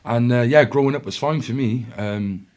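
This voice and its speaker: A man's voice: mid-range voice, normal speed, darkish tone. A young man from Wales with a South Welsh accent, a podcast guest telling his life story in a loose, rambling way full of restarts and fillers. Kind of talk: casual storytelling chat.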